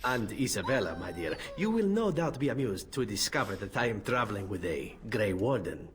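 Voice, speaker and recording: A man's voice: on the low side, sing-song, fairly quick, slight background noise. An actor voicing a suave, roguish male adventurer in a video game, wry and courteous. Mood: wry, amused.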